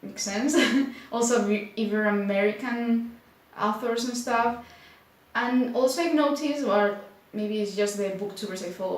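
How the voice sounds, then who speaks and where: A young woman from Spain speaking English with a Spanish accent, chatting casually to camera in an unhurried way, full of fillers like "like". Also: fairly high pitch. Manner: unhurried, many filler likes, slightly unsure. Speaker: booktuber. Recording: very echoey room.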